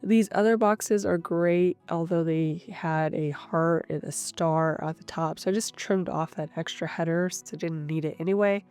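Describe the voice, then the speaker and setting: Woman, nasal and stuffy, slightly rough, calm explanatory voice-over, faint guitar music behind. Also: on the low side, moderate pace, hardly any pauses. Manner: calm, explanatory, thinking aloud. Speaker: planner channel host. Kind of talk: voice-over narration of a craft session. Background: soft guitar music.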